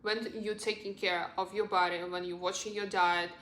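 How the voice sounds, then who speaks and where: A woman's voice: medium pitch, level tone, moderate pace, a bit bright, slight background noise. A young adult woman from Russia, a native Russian speaker with a Russian accent, giving casual, earnest, encouraging advice as a lifestyle vlogger. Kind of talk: casual advice vlog to camera. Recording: roomy, echoing sound.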